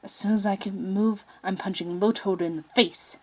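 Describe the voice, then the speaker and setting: A woman's voice: medium pitch, steady tone, normal speed, a little mellow, natural voice. A woman voicing a feisty young male space pilot in a story, snappy, sarcastic and defiant.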